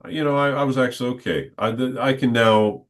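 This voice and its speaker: A middle-aged man, a stadium announcer, telling stories in a relaxed, good-humored interview. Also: mid-range voice, fairly quick. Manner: good-humored, reflective, talks at length. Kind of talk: casual storytelling in an interview.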